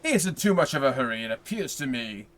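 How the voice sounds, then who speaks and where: A man's voice: mid-range voice, big pitch swings, fast, a bit bright. Man voicing sly, scheming Danglars. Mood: probing. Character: scheming jealous male ship's officer.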